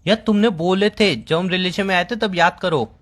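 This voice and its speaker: A man's voice: sits high, normal speed, a bit bright. A young man in a casual relationship argument with his girlfriend on a phone call, exasperated and insistent, repeating himself.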